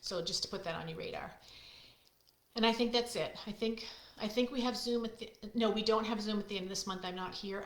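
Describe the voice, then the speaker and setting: A middle-aged woman with a loud, projecting voice, chatting casually with viewers as she hosts a live card-making stream. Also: medium pitch. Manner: chatty, friendly, talks to viewers by name. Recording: echoey room.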